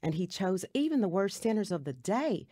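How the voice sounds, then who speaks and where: A woman's voice: medium pitch, very animated, moderate pace, warm tone, dry recording, studio quality. A woman playing a kind, reassuring Sunday school teacher in a radio drama, patient and instructive. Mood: gentle, assured.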